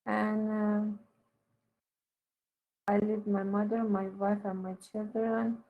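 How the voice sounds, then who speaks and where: A woman teacher giving halting, corrective and instructive one-to-one feedback on a student's writing. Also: medium pitch, level tone, phone-quality sound.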